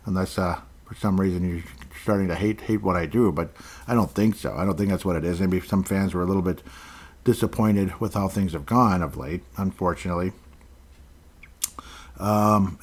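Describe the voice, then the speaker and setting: A man's voice: fairly low pitch, darkish tone. A man hosting a sports podcast on his own, chatting casually and rambling as he thanks listeners by name. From Minnesota. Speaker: podcast host. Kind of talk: casual solo podcast chat.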